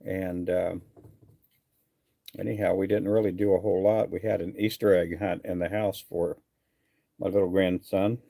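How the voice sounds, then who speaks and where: A man's voice: deep voice, little pitch movement, normal speed, creaky, long pauses, mellow, no echo. A middle-aged man from Texas chatting casually on a fishing livestream, answering viewer comments in an easygoing, rambling way with many fillers. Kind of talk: casual live chat reading viewer comments.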